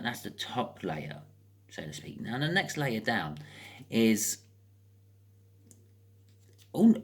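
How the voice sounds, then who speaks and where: A British speaker giving a casual, improvised tarot card reading as host, chatting and thinking aloud with wry asides. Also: mid-range voice.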